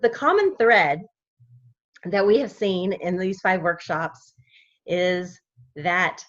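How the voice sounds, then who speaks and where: Relaxed woman hosting, dull lo-fi sound. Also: mid-range voice. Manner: relaxed, improvising. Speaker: workshop host. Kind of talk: casual welcome talk.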